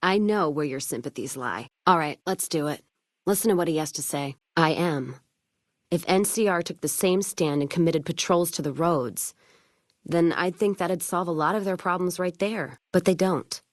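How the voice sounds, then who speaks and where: Woman acting a low-voiced, tough wasteland trader woman. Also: moderate pace, no room sound, clean studio sound, lightly acted. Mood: blunt, frustrated. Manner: blunt, folksy, wry drawl of plain talk. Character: tough, foul-mouthed, vengeful female wasteland caravan trader. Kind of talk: video game dialogue acting.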